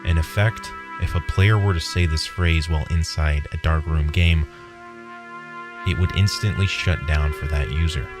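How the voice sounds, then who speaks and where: Very deep-voiced man narrating over loud music. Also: moderate pace. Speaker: story narrator. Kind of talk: first-person creepypasta audio narration.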